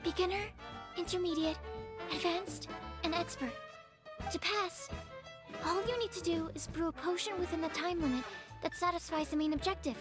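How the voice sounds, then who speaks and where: High-voiced, encouraging young alchemist. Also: female voice, steady tone, normal speed, music in the background. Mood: instructive. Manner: encouraging, clear explanatory delivery. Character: helpful, polite young female alchemist examiner. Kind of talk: video game voice acting.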